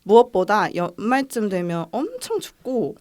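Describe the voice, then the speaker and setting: Calm young woman podcast host. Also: mid-range voice, unhurried. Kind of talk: casual chat and film recommendation.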